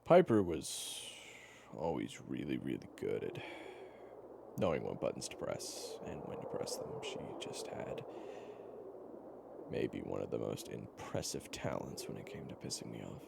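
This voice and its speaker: Man acting low, gravelly, wry storyteller. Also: huge pitch swings, a little slow, heavily acted. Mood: wry, reminiscing. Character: weary, protective male storyteller survivor, teasing and patient. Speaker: character narrator. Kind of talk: conversational in-character storytelling, audio roleplay. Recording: clearly noisy background ambience.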